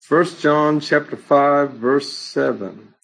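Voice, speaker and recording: A man's voice: on the high side, slow, creaky, fairly bright tone, low-quality recording. A man pastor answering congregation questions in a casual Bible study, talking conversationally with many ums and restarts.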